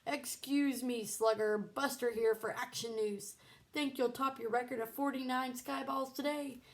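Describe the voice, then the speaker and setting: A woman's voice: fairly high pitch, fairly even intonation, a little slow, natural voice. A woman voicing a boastful, playful young boy with a showy, salesman-like manner in a children's storybook. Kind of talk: children's storybook read-aloud.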